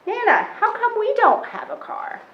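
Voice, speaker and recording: A woman's voice: extremely high pitch, huge pitch swings, normal speed, echoey room, highs cut off, pitched above the performer's own voice, full character voice. A woman reading a children's picture book, voicing a curious young boy who questions and grumbles in a plaintive way.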